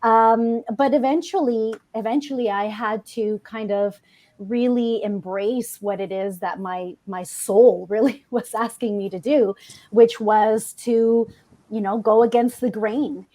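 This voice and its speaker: A woman podcast guest from a Colombian family, telling her personal story conversationally in an animated way. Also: fairly high pitch, unhurried. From Colombian household.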